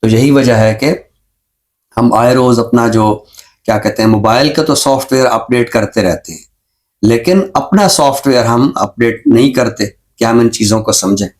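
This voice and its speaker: A middle-aged Pakistani man, a professor and poet, speaking in a measured, professorial way and repeating phrases for emphasis on a literary show. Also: mid-range voice. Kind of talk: reflective literary talk and poetry recitation.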